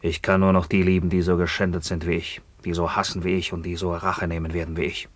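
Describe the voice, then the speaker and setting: Bitter, low-voiced war captive returned home. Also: male voice, level tone, fast, no echo. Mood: cold, commanding. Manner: declamatory, grim, swelling from low murmurs to fierce cries. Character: bitter maimed Roman returnee from captivity, commanding and vengeful. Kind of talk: theatrical German radio drama acting.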